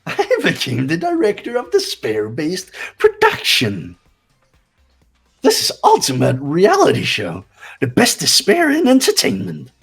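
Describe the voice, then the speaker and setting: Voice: mid-range voice, wildly swooping pitch, measured pace, fairly bright tone, husky, faint music behind, exaggerated voice. A performer voicing a gleeful, sadistic mascot bear in a fan-voiced video game, taunting and theatrical. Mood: boastful, theatrical.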